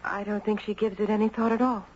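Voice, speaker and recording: A woman playing a polite, protective, grieving mother, measured and guarded, in a muffled, noisy 1970s radio drama. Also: mid-range voice, little pitch movement, a little mellow, natural voice. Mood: guarded, sombre.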